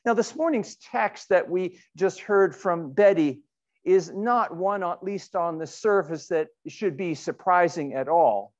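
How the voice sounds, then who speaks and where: A middle-aged man, a pastor, giving a sermon in an earnest, deliberate way with rhetorical questions and repetition. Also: high-pitched, lo-fi sound. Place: indoors in a church sanctuary.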